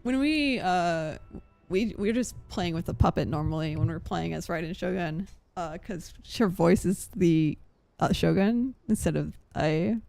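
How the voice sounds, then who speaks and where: A woman's voice: on the low side, animated, unhurried, creaky, darkish tone. A young woman giving casual live gameplay commentary as a streamer, rambling and thinking aloud. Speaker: game streamer. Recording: faint game music behind voice. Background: game music.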